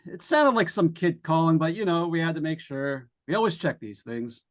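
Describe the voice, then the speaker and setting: A man's voice: on the high side, expressive, fairly bright tone. A man playing a courteous, hesitant, apologetic police officer in an old-time radio suspense play. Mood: apologetic, awkward. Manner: halting, courteous.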